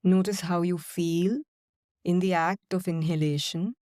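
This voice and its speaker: A woman guiding a body-scan and breathing meditation in slow, calm, soothing instructions with long pauses. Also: on the low side, no echo, clean studio sound. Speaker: meditation guide. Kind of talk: guided meditation.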